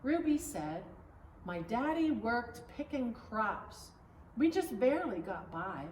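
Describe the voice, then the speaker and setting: A woman reads a children's storybook aloud as narrator, phrase by phrase in a deliberate way. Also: on the high side, big pitch swings, darkish tone. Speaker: pastor reading a storybook. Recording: echoey room, distant mic, faint noise.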